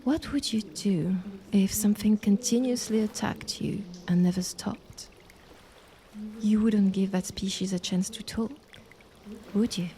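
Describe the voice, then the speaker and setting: Woman playing a mellow, composed monster sister. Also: mid-range voice, lightly acted. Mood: grave reproach. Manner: measured, formal, composed. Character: calm, stern younger monster sister with magical voice. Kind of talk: dramatic audio roleplay acting.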